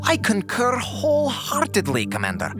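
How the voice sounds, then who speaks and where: A man's voice: high-pitched, wildly swooping pitch, normal speed, over music. An actor playing a formal, pedantic male doctor on a space station in an audio drama, speaking precisely. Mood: dry indignation.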